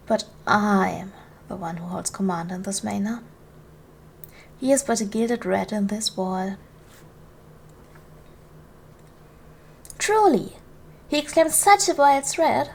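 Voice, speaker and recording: A woman playing a gracious, commanding duchess in an ASMR roleplay, speaking in a formal, measured, soothing way to a servant she protects. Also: mid-range voice, big pitch swings, vocal fry, darkish tone, heavily acted. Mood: firm, indignant. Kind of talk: ASMR roleplay, soft formal period speech.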